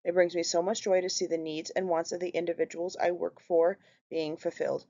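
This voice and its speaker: A woman in her thirties with a Minnesotan accent and a fairly low voice reads steadily through a prepared class presentation. She sounds earnest and keeps an even, scripted delivery. The recording is slightly muffled and lo-fi. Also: brisk. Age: 30s. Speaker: student presenter.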